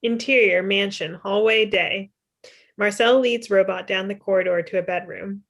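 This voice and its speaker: A woman's voice: mid-range voice, steady tone, moderate pace. Woman reading screenplay stage directions. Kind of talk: scripted narration, table read. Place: room at home, video call. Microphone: video call computer microphone.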